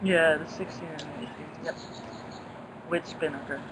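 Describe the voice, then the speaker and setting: A woman with a Dutch accent, a guest talking casually and haltingly about a sailing race. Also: deep voice, fairly even intonation, noisy background. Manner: hesitant, halting with restarts. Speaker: guest sailor. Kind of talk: casual chat.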